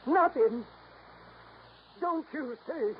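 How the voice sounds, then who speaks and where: A woman's voice: high-pitched, expressive, drawn-out words, full character voice. A woman playing a bitter, defensive older housekeeper in a 1950s radio drama, with folksy, agitated speech. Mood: bitter, desperate. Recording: dull, noisy old radio recording.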